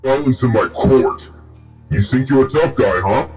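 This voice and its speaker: A man voicing an impatient demonic male judge who speaks in a gruff, booming way. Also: a little slow, darkish tone, soft background music, close to an everyday voice. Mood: menacing.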